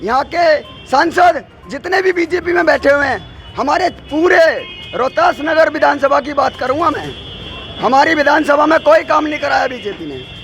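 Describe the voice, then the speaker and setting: A hoarse man in his forties, a ward candidate speaking insistently and repeating himself in a street interview. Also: very high voice, expressive, moderate pace, a bit bright, faint music behind, poor audio. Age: 40s.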